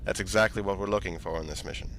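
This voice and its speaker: A man's voice: sits low, racing. A man playing a senior space fleet admiral in a science fiction audio drama, briefing in a formal, authoritative manner. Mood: measured, authoritative. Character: commanding senior space fleet admiral, brisk and matter-of-fact. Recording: steady background ambient noise.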